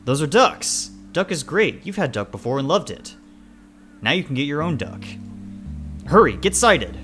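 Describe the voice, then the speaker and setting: A man's voice: lowish voice, moderate pace, a bit bright, soft background music, close to an everyday voice. A man voicing a stern, pious animal father in a dramatic story, scolding and accusing with disgust. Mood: urging.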